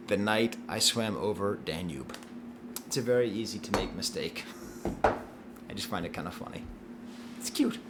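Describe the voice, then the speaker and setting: Chatty American man, animated swings. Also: a little hiss or hum. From United States. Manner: chatty, laughing, swings from low murmur to excited high bursts. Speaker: album review host. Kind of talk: casual video review.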